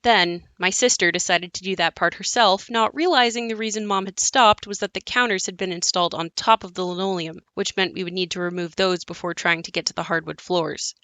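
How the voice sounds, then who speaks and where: A woman's voice: lowish voice, fairly even intonation, brisk, hardly any pauses, sharp tone, no room sound. A woman playing a wry grown daughter narrating memories of her crumbling childhood home in an audio play, with a reflective, understated storytelling delivery. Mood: wry, matter-of-fact. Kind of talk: scripted monologue in an audio play.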